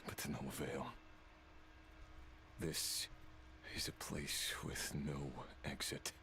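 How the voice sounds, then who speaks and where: An actor playing a brooding, remorseful fallen villain in a video game, speaking slowly and solemnly with halting phrases. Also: male voice, rumbling low, steady tone, pushed and bright, a little hiss or hum, exaggerated voice. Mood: bitter regret. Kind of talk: dramatic video game dialogue. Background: music.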